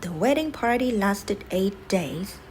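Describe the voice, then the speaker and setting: A woman reading a bedtime fairy tale expressively, with dramatic delivery that often drops to a breathy hush. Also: mid-range voice, lively intonation, slow pace, a little hiss or hum. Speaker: storyteller.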